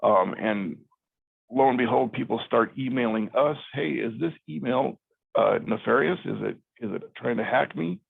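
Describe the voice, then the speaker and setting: A middle-aged man talks through a cybersecurity webinar in a very gravelly, husky voice. He speaks loosely, with fillers and restarts. The sound is dry and narrow, like a phone line over a video call. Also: mid-range voice, moderate pace, no room sound. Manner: conversational, many ums and restarts. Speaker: IT company CEO giving a cybersecurity talk. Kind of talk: webinar presentation.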